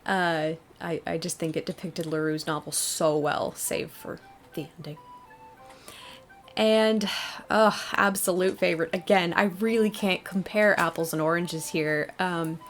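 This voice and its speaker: A woman's voice: fairly low pitch, steady tone, unhurried, soft background music. A young adult woman hosting a casual question-and-answer video, talking in a thoughtful, hesitant, rambling way. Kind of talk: casual fan Q&A talk.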